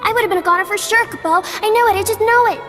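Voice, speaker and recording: A woman's voice: very high voice, racing, a bit bright, faint music behind. A woman voicing a small, eager, loyal fairy creature in a video game, chirpy and full of exclamations. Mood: earnest pleading. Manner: chirpy, exclamatory, punctuates speech with a verbal tic. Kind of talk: video game cutscene acting. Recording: long hall reverb.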